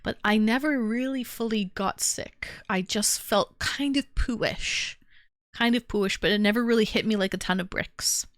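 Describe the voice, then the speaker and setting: A woman's voice: mid-range voice, fairly bright tone, no room sound, studio quality. A young woman hosting a livestream, chatting casually with viewers and answering their comments, a little stuffy from a lingering cold. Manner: chatty, rambling, talks straight to chat viewers. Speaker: livestream host. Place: home.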